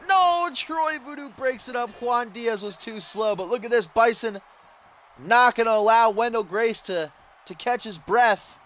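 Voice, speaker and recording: A young man giving profane, excitable play-by-play of a video game wrestling match, grumbling one moment and yelling the next. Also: very high voice, unhurried. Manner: swings from dry grumbling to yelling big moves; profane, teasing.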